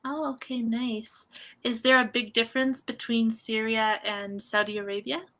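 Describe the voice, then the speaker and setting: Bright-voiced Canadian-raised woman tutor. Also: a little high, flat delivery, normal speed. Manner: friendly, encouraging, gently corrects. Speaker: English tutor. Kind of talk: online conversation lesson. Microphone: video call.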